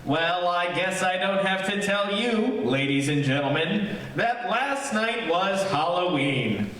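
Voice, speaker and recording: A man's voice: sits high, animated, slow, fairly bright tone. A man announcing in a theatrical, presentational old-time radio style, as in a live stage production. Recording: roomy, distant mic with faint noise.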